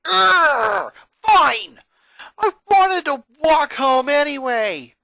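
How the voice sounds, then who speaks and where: A very high, sharp-edged young schoolboy voice, acted by a man. He is whiny and put-upon, an exasperated, anxious cartoon kid who trips over words when nervous. The sound is dry and close. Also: expressive, drawn-out words, vocal fry, dry recording, exaggerated voice. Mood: angry yelling. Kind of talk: dramatic fan fiction reading.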